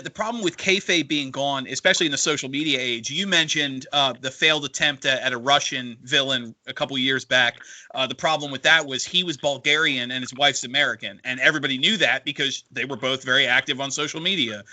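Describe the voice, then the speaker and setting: A man's voice: medium pitch, brisk, pushed and bright. A man co-hosting a podcast who rambles and often restarts his sentences. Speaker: podcast co-host. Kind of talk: casual podcast panel chat.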